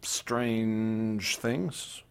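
An actor playing a troubled, earnest young student in a radio drama, speaking hesitantly and with feeling. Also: male voice, fairly low pitch, steady tone, extremely slow, gravelly, clear, resonant tone, exaggerated voice.

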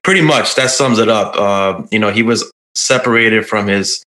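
Guy guest on a podcast, slightly low voice but bright, kinda hedges as he talks. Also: moderate pace, rough recording. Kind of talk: casual chat.

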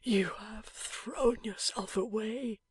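A woman voicing a badly wounded young husband who speaks in a faint, halting, affectionate whisper in an audiobook. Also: a little high, slightly rough, pitched above the performer's own voice, full character voice. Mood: faint, tender. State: weak from injury. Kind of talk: audiobook character voice.

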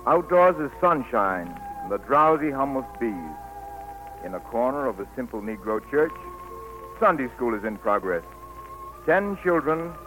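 Animated male radio narrator over music. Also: mid-range voice, low-quality recording. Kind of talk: scripted 1940s radio narration. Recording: old radio broadcast, loud music behind.

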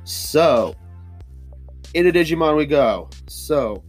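A man's voice: fairly high pitch, expressive, slow, soft background music. A man hosting a casual solo podcast, stuffy-nosed and rambling with lots of fillers and restarts. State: stuffy nose. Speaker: podcast host. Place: hot humid home studio with fans running.

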